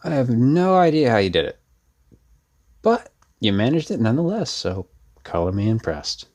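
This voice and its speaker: A man's voice: medium pitch, big pitch swings, moderate pace, a little mellow. A man playing a laid-back, self-deprecating young rebel in an audio roleplay, rambling casually with sardonic wit. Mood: teasing, rambling. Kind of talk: ASMR roleplay audio drama.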